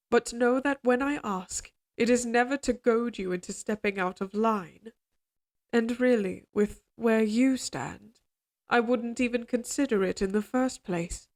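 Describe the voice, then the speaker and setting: A woman playing a regal, possessive queen in an audio roleplay drama, speaking in formal, measured courtly phrases, tender yet controlling. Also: a little high, a little mellow, close to an everyday voice.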